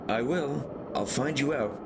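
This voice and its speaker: Audiobook role: angry orchard youth, performed by a man, raised insistent voice, higher pitch, measured pace. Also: gravelly, very noisy. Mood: angry, defiant. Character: angry, defiant young orchard dweller shouting threats upward.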